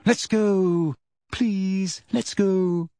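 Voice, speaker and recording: Guy doing a worried teen schoolgirl, raised pitch, breathy and timid, pleading, storybook read. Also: steady tone, drawn-out words, mellow, no room sound, clean studio sound, full character voice. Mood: terrified. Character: anxious, pleading teenage schoolgirl.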